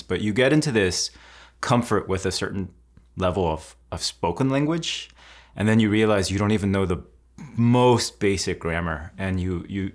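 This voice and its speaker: A man, reflectively telling his life story in an interview, casual and thoughtful. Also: low-pitched. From United States (Texas). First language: Korean. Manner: thoughtful, casual, with restarts and "like" fillers.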